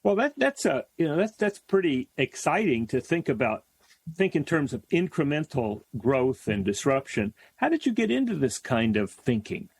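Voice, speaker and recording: A man's voice: fairly high pitch, big pitch swings, normal speed, a little mellow, husky, no echo. A man hosting a talk show, interviewing conversationally and repeating words as he frames his questions.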